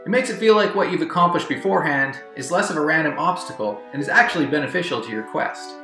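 A man's voice: a little high, animated, fast. A man reading scripted countdown commentary as a video narrator. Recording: roomy echo, music bed. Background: background music.

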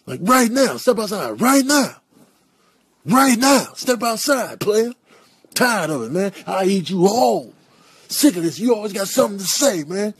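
A man voicing an indignant, trash-talking cat in a slangy, confrontational comic animal voice-over with a husky voice. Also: high voice, big pitch swings, measured pace, dry recording, clean studio sound, heavily acted. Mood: furious, taunting. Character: indignant, trash-talking male cat fed up with his rivals.

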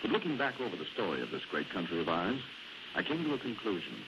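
An American man reading scripted 1940s radio announcements and narration in a measured, polished, oratorical way. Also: low-pitched, sing-song, dull audio. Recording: noisy old 1940s radio broadcast.

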